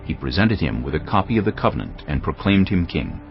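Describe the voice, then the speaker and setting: A man narrating scripture in a steady, measured reading for a dramatized audio Bible. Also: low voice, highs cut off, faint music behind.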